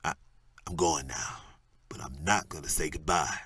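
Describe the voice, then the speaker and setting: A man's voice: rumbling low, normal speed, sharp tone. An actor playing a panicky, desperate gunman in an audio drama, stammering and wavering. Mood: shaky, giving in. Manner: stammering, jittery. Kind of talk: superhero audio drama acting.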